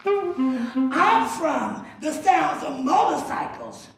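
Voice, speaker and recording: A woman's voice: high voice, very animated, drawn-out words, vocal fry. A woman performing spoken word on stage with a rhythmic, dramatic delivery. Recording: echoey hall from far off with music.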